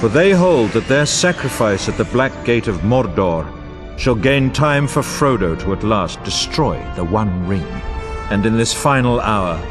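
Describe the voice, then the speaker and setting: Over a clear bed of music comes a solemn male chronicler of epic wars. His voice sits low and swings widely in pitch. He narrates a fantasy game with grave, grandiose formality. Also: expressive, a little slow. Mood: resolute, stirring. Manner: grandiose, portentous, formal delivery. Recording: orchestral music bed under voice.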